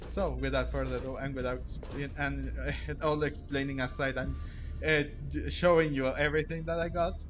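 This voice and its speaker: A man giving casual gameplay commentary in a halting way, often repeating words and trailing off mid-sentence. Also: medium pitch, steady tone, slight background noise. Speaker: video game streamer. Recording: game music behind the voice. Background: video game music.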